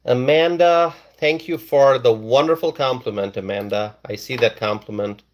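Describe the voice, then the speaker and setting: A man's voice: medium pitch, moderate pace. A man from Bangladesh hosting a casual live stream, reading viewers' comments aloud and repeating phrases for emphasis.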